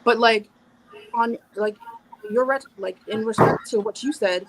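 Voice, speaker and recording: A woman's voice: fairly high pitch, measured pace, slight background noise, rough recording. Blunt, cursing woman on a panel. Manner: blunt, profane, self-interrupting, over-explains. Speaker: panel guest. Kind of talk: casual live panel discussion.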